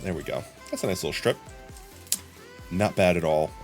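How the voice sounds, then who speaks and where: A young adult man streaming a game live, chatting casually with his viewers in a hoarse, rambling way. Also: sits low, moderate pace, faint music behind. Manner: chatty, rambling, often addressing chat.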